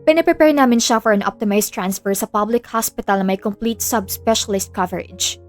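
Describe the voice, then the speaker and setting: A woman's voice: medium pitch, brisk, soft background music, natural voice. A woman playing a formal, officious hospital doctor in an audio drama, businesslike and jargon-heavy, with a veiled threat under politeness. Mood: forced politeness. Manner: formal, businesslike, mixing English jargon into speech.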